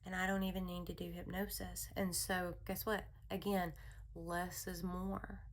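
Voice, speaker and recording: A woman hosting a spiritual wellness talk, speaking in a calm, soothing voice with a rambling manner and frequent laughs. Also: fairly low pitch, a little slow. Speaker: wellness channel host. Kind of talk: casual spiritual talk to viewers.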